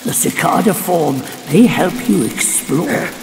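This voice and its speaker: High, animated little old god. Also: male voice, takes it slowly, vocal fry, crowd noise, full character voice, rough recording. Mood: advising. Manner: animated, folksy, chatty and wry. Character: spry old male earth god, scolding but helpful. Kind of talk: theatrical video game voice acting. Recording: music under the voice. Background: game music.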